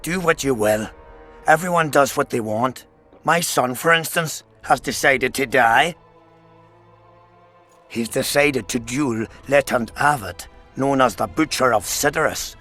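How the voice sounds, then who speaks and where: Bitter, forceful soldier father. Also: mid-range voice, unhurried. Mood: bitter, guarded. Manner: bitter, fatalistic. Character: bitter, despairing middle-aged soldier father pleading for son. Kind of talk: video game voice acting. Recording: music behind the voice.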